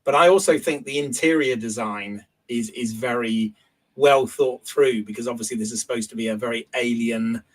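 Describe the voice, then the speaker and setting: A man, hoarse from a cold, chatting casually as a guest and hesitating often. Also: mid-range voice, normal speed, rough recording. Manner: relaxed, hesitant, many ums. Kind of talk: casual chat.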